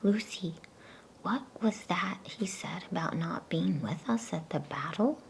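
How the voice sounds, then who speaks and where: A woman voicing a concerned, gentle girl, an older sister, in a hushed, questioning whisper for a storybook. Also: lowish voice, animated, measured pace, vocal fry, slight background noise. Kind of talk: whispered ASMR storybook reading.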